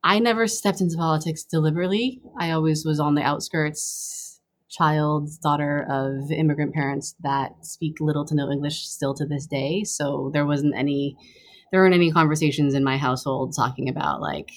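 A young adult woman, born in Taiwan and raised on the US East Coast, answering interview questions as a campaign fundraising director, thoughtful and halting with many restarts. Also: sits low, fairly even intonation.

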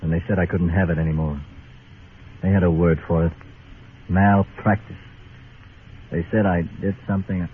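An actor playing a bitter, sardonic disgraced doctor turned crooked gambler in a radio Western, talking in clipped phrases. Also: male voice, low-pitched, little pitch movement, moderate pace, long pauses, phone-quality sound, close to an everyday voice. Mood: bitter, guarded. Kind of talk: 1950s radio drama acting. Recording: thin noisy old radio recording.

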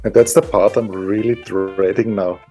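A man's voice: on the low side, fairly even intonation, moderate pace, mellow, soft background music. A middle-aged man from Europe with a non-native English accent, introducing himself in a casual, self-deprecating, wry way as a guest surgeon on a podcast.

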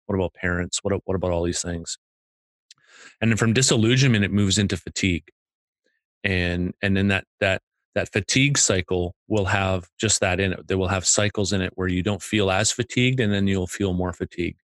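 Low-voiced man laying out ideas. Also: brisk, a bit bright, no echo. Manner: methodical, breaks ideas into stages, hesitant fillers. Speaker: podcast co-host. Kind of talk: explaining ideas in casual chat.

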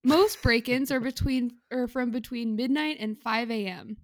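A woman co-hosting a podcast in casual chat, telling stories in a halting, amused way. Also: on the high side, no room sound. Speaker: podcast co-host.

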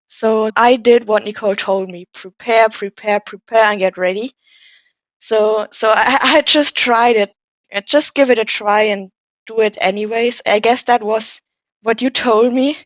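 A woman's voice: mid-range voice, dry recording. A young woman in her late teens, a native German speaker with a German accent, telling a personal story casually as a podcast guest. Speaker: podcast guest, university student. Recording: dull lo-fi audio.

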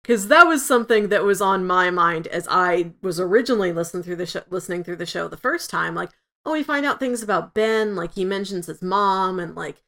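Woman on a review podcast, just chatting, normal pitch, acts out little quotes while explaining. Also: animated, brisk, hardly any pauses, a bit bright. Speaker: podcast co-host reviewing an audio drama. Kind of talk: casual discussion chat.

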